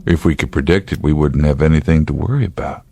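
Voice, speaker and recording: An actor playing a steady, dryly wry state trooper in a naturalistic radio drama, speaking in a measured, laconic way. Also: male voice, a bass voice, vocal fry, heavily acted. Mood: wry, reassuring. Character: gruff, steady male state trooper, wryly humorous, protective.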